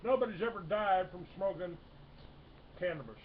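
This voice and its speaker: A man in his sixties hosting a talk radio show, rambling with halting restarts and lots of fillers. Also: high-pitched. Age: 60s. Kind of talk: casual talk radio chat. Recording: dull lo-fi broadcast with faint hiss.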